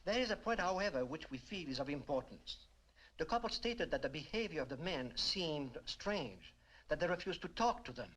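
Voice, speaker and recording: A fairly high-voiced male police investigator from a 1950s film. He is businesslike and formal, choosing his words precisely. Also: animated, a bit bright, a little hiss or hum, low-quality recording. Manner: precise, formal, measured wording.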